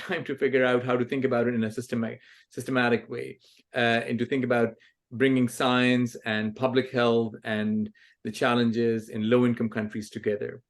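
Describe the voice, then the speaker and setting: Thoughtful man from Pakistan, 40s. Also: medium pitch. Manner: thoughtful, many fillers and restarts. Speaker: author and scientist, guest. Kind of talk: conversational interview answers.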